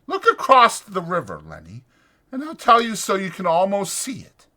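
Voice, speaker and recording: A man voicing a weary, protective ranch hand who talks in a halting, soothing, anguished way in a dramatized audiobook. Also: high-pitched, wildly swooping pitch, bright, forceful tone, voice pushed up, heavily acted. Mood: gentle, strained.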